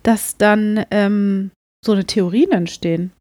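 Female podcast co-host, unhurried delivery, medium pitch, dry studio-like closeness, casual and halting explanations. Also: fairly even intonation, a little mellow, no room sound.

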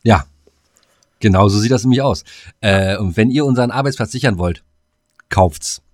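A man's voice: on the low side, moderate pace, dry recording, studio quality. A man, co-hosting a casual podcast in a blunt, colloquial way, sometimes talking while eating. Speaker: podcast co-host.

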